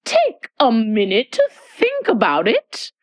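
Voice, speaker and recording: Woman as stern old queen, commanding, lively pitch. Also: slow pace, dry recording, studio quality, exaggerated voice. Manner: decided, commanding. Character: stern, bossy elderly Red Queen.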